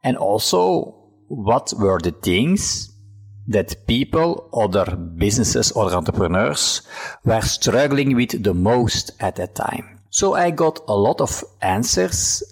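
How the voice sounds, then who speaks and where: A man, Dutch by mother tongue, talks through a personal story on his podcast. His voice sits fairly low with a husky, slightly breathy edge. He speaks loosely and conversationally, with fillers and restarts. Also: takes it slowly. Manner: relaxed, chatty, frequent fillers and restarts. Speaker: podcast host. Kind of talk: casual personal storytelling monologue.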